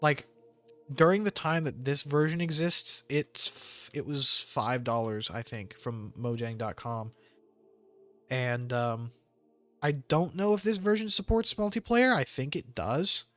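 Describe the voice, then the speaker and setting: A man's voice: mid-range voice, unhurried, fairly bright tone, faint music behind. A man giving casual, rambling gameplay commentary with jokey slang. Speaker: gamer hosting a let's play.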